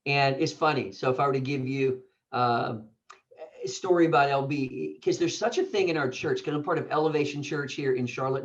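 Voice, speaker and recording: Effusive American man, slightly muffled recording. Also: mid-range voice. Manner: effusive, playful, informal. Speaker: podcast guest, pastor. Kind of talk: casual conversational interview. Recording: dull, slightly lo-fi audio.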